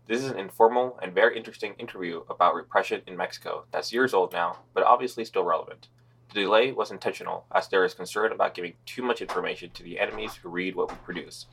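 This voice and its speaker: Man, podcast co-host, very low voice, gravelly fry, laid-back and sardonic. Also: fairly bright tone, poor audio. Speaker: podcast co-host reading news. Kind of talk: casual news roundup with read-out quotes.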